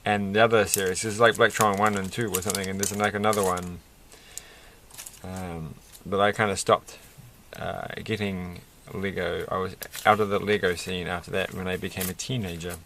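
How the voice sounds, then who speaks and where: A middle-aged man with a croaky voice, chatting casually and haltingly through a hobby build, often searching for words. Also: low voice, level tone, fairly bright tone, slight background noise. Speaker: Lego build video creator. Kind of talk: casual hobby vlog, unscripted commentary while building. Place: indoors at a desk.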